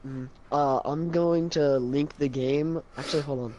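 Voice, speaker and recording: A young man chats casually between plays, rambling through "like" and "uh." His voice is soft and mellow. It comes through a dull, lo-fi mic with a faint hiss. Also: fairly high pitch, fairly even intonation, a little slow. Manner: rambling, lots of "like" and "uh". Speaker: esports caster. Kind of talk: casual chat between casters.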